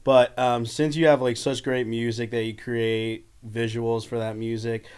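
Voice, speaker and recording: A man hosting a podcast, upbeat and a bit halting, with ums between phrases. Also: medium pitch, flat delivery, a little mellow, clear, resonant tone. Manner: enthusiastic, halting, with fillers. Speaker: podcast host. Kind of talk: casual interview chat.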